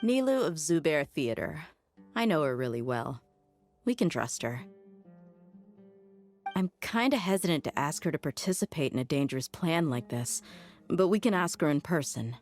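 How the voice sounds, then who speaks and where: An actress playing a bold, confident desert mercenary leader in a video game, casual and assertive. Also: female voice, deep voice, sing-song, moderate pace, pauses often, darkish tone, soft background music. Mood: frank, curious. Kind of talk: scripted game dialogue.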